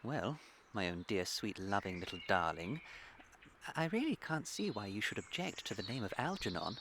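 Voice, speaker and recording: A British man playing an impetuous, charming young gentleman in a comic period radio play, ardent and theatrical. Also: on the low side, big pitch swings, moderate pace, slight background noise. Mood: ardent, wounded.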